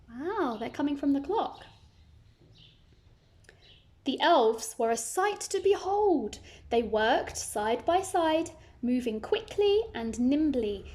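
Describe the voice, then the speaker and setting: A woman's voice: high-pitched, a little mellow. A woman reading a children's story aloud, narrating expressively and adding simple asides for young listeners.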